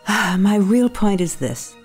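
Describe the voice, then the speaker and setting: A woman acting as a concerned, probing school staff member in an audio drama, with a measured, coaxing manner. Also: medium pitch, expressive, a little mellow, husky, faint music behind, full character voice. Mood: cautious, concerned.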